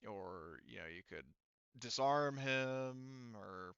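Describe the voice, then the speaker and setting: Smooth-voiced man running a tabletop game. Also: medium pitch, expressive, takes it slowly, a bit bright, no room sound. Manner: informal, rambling, swears. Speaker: game master. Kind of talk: casual narration of a tabletop game. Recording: dry, slightly muffled voice mic.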